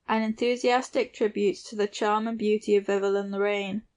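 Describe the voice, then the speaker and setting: Female narrator with even, restrained intonation. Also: mid-range voice, normal speed. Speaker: audiobook narrator. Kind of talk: literary scripted narration.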